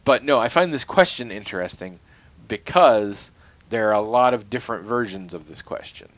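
A man's voice: lowish voice, expressive, moderate pace, no room sound. A man co-hosting a podcast in casual chat, playful and analytical, laughing often. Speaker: podcast co-host.

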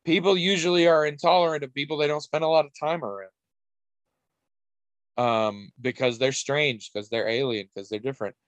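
Candid rambling podcast host, dry sound. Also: male voice, fairly high pitch, steady tone, normal speed, no echo. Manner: rambling, candid, swears casually. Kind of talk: casual chat.

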